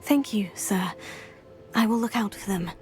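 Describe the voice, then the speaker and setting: A woman's voice: medium pitch, unhurried, a little mellow, soft background music, close to an everyday voice. An actress playing a polite, earnest teenage girl studying magic in a video game. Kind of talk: video game cutscene acting.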